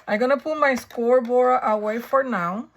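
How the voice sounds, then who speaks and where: A woman with a raspy, hoarse voice from a coming flu, chatting her way through a crafting tutorial and thinking aloud as she works. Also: fairly high pitch, normal speed, a little hiss or hum. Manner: chatty, thinking aloud while working, frequent ums. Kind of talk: casual step-by-step crafting tutorial.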